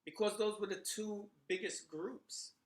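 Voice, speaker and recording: A man's voice: high-pitched, measured pace, echoey room. A middle-aged man from the Bronx, telling memories in a casual monologue full of "you know" and "right?". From New York, Bronx. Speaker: commentator sharing memories.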